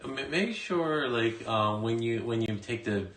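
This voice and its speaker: A man hosting a casual interview stream, chatty and prompting his guest with quick acknowledgements. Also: medium pitch, lively intonation, a bit bright, reverberant. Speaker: stream host.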